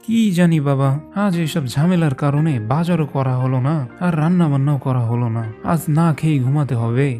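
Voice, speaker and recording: Greedy cartoon woodcutter, male actor, grumbling and wheedling, mellow timbre, raised pitch. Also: brisk, few pauses, soft background music, lightly acted. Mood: self-pitying, worried. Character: greedy dishonest woodcutter, whining and self-pitying. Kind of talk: animated folk-tale dialogue.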